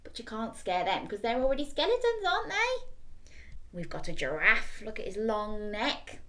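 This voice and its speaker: Animated woman reading to children. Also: a little high, moderate pace. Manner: expressive, asks the child questions. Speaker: children's storyteller. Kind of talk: expressive bedtime story reading to young children. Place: small bedroom, indoors.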